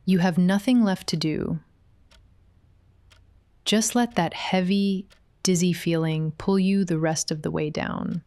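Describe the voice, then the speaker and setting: Woman acting as possessive female therapist, low-pitched, very slow, soft, coaxing, hypnotic ASMR roleplay. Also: no echo, studio quality. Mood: soothing, hypnotic.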